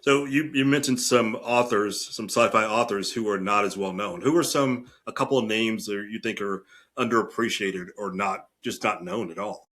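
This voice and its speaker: A man with a fairly low voice hosts an interview. He phrases his questions conversationally, as a podcast interviewer talking with a guest. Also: normal speed, a bit bright.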